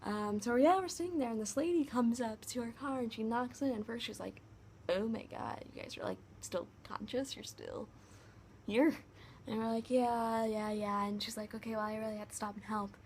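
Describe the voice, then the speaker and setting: A young woman vlogger with a high-pitched voice, casually retelling a story to camera, full of "like" fillers. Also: normal speed, a little hiss or hum. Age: young adult. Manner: chatty, many "like" fillers, animated retelling.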